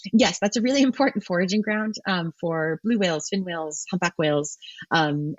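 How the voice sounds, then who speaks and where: A woman's voice: sits low, dry recording, highs cut off. A woman, a marine ecologist, answering interview questions in a thoughtful way with frequent ums and restarts.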